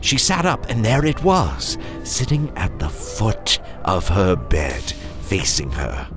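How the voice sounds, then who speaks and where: A man narrates horror with theatrical suspense and playful asides to his listeners. His pitch sits very low and swoops widely for effect. Loud music plays behind him. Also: wildly swooping pitch. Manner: suspenseful, playful, dramatic pauses. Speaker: horror story narrator and host.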